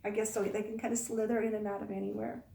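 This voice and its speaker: A woman's voice: mid-range voice, flat delivery, fast, gravelly, dark tone. A woman teacher hosting storytime for young children, talking in a coaxing sing-song way and asking them questions. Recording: roomy echo, distant microphone.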